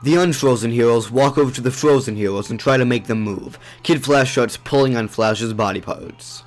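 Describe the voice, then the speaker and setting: A man's voice: mid-range voice, moderate pace, soft background music. Man narrating an audio drama.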